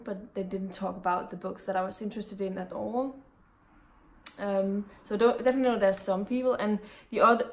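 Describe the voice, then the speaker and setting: Woman, young adult, Danish accent, Danish native speaker, book vlogger, casual chat, mid-pitched voice. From Denmark. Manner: chatty, many ums and restarts.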